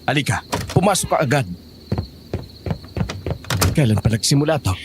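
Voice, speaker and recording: Elder male guardian, played by an actor, solemn, protective, expressive intonation, horror drama, light insect noise behind. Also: medium pitch, moderate pace, lightly acted. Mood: grave, reassuring. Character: protective elder village guardian, grave and knowing. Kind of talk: audio horror drama acting.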